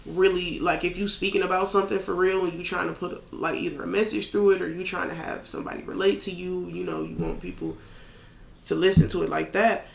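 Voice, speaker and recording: A man with a raspy, gravelly voice that sits fairly high for a man. He talks in a loose, candid way, as a podcast guest chatting about his craft. The sound carries an echoey room around it. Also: a little fast, a little hiss or hum. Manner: relaxed, candid, loose with filler words. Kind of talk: casual chat.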